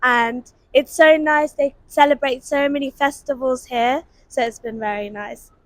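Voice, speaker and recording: A woman from the UK with a very high voice answers politely and briefly. Her voice sounds dry and close. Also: steady tone, unhurried, no room sound. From United Kingdom. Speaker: tourist interviewee.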